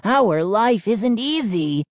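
A woman voicing a small male mischief gnome in a children's audiobook, raspy, solemn and pleading. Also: medium pitch, expressive, slow pace, dry recording, clean studio sound, voice pushed up. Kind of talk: audiobook character voice.

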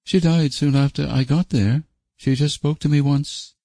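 Man voicing a weary, wounded woman, raised pitch. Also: steady tone, normal speed, warm tone, no echo. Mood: weary, hurt. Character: weary, hurt woman bringing sad news. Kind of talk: audiobook character voice.

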